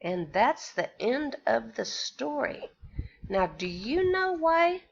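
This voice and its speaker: Slow sing-song teacher reading aloud. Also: female voice, a little high, big pitch swings, gravelly, lo-fi sound. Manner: slow, sing-song storytelling with questions to the children. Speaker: pre-K teacher. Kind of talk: reading a picture book aloud to young children.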